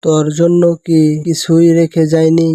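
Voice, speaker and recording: A man's voice: fairly high pitch, completely flat delivery, normal speed, mellow, close to an everyday voice. A man playing a concerned friend of an orphaned young man in a cartoon story. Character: concerned male friend offering shelter to an orphan.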